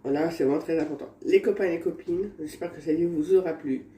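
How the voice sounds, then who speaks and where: A man vlogging at home while he has a cold, showing his remedies in a halting, rambling way that repeats itself. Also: fairly high pitch, dark tone. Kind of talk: casual home how-to vlog. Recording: echoey room with faint background noise.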